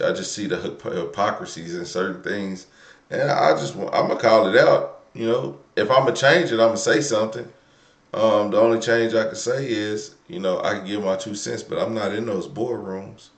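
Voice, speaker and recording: Low-pitched man, American accent, call-in host, slangy and unscripted, repeats himself, echoey room. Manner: colloquial, slangy, repeats himself while thinking aloud. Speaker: host of a live call-in commentary show. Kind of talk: casual, off-the-cuff live talk. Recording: roomy, echoing room sound.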